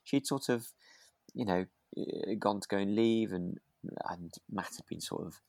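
Low-pitched voice, close dry sound, podcast co-host, casual recap, hesitant, thinking aloud. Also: measured pace, creaky, a little mellow, no room sound. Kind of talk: casual chat recapping a radio drama.

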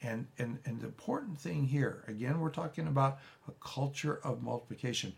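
A man, a pastor giving a relaxed, rambling teaching talk with frequent restarts and folksy asides. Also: medium pitch, brisk, vocal fry. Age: 70s. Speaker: pastor teaching a church leadership course.

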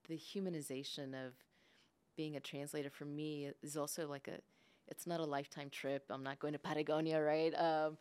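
Low, smooth-voiced bilingual English-Spanish woman. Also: level tone, moderate pace, darkish tone. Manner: frequent "like" and "right?" tags. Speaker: podcast guest, business leader. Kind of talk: casual reflective conversation.

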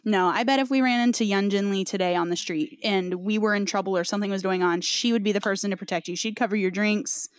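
A woman's voice: medium pitch, fast talker, few pauses, dry recording. A woman podcast host chatting casually in an earnest, effusive and supportive way. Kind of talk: casual chat.

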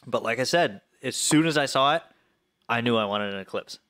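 A man's voice: medium pitch, expressive, brisk, fairly bright tone, smooth, no room sound, studio quality. A thirty-year-old American man telling personal stories in casual, informal chat with some swearing. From Flint area, Michigan, US.

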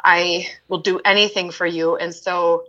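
A woman's voice: on the low side, little pitch movement, a little slow, a bit bright, lo-fi sound. A woman guest candidly telling a personal story in conversation, emphatic and acting out what people said to her. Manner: open, emphatic storyteller who acts out quotes.

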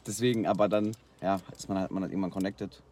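A man from Germany, a guest freestyle rapper, telling stories in relaxed slangy casual chat, worn out after a long night. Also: deep voice, fast, a little hiss or hum. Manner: relaxed, slangy storytelling with fillers.

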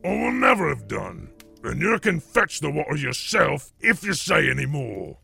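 A man voicing a belligerent, brutish troll with a rough, coarse voice in a storybook reading. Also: on the high side, big pitch swings, moderate pace, sharp tone, faint music behind, heavily acted. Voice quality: rough, gravelly. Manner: blustering, coarse rustic speech. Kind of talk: audiobook character voice.

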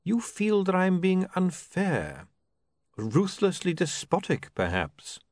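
An urbane older male ruler, acted by a male narrator for an audiobook. He speaks with dry, formal courtesy and quiet menace, his pitch moving freely, in a very close, dry recording. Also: huge pitch swings, slow pace, no room sound, heavily acted. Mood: veiled menace. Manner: dry, polite, pompously formal. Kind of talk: audiobook character voice.

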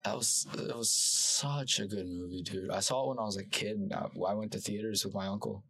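A man's voice: on the low side, steady tone, a little fast, fairly bright tone, husky. A man in his twenties hosting a podcast, joking loosely with guests and his stream chat. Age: 20s.

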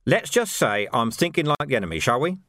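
A commanding, world-weary watch sergeant, played by a man, terse and wry. The voice moves freely in pitch and sits close and dry, as in a storybook reading. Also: big pitch swings, a little fast, a bit bright, dry recording. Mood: firm, commanding. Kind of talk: audiobook character voice.

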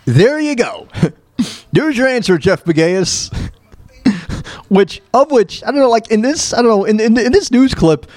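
A man with a high, very animated voice hosting a show. He comments on news in a blunt, emphatic way, often stumbling, repeating himself and rambling as he goes. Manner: halting, repetitive, rambling delivery. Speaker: show host. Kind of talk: opinionated commentary on news clips.

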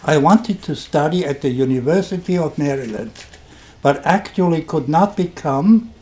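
An older man with a German accent, telling his own story on a podcast in a deliberate, wry and dryly sarcastic way. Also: a little high, animated, a little mellow. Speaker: podcast host. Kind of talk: first-person storytelling podcast.

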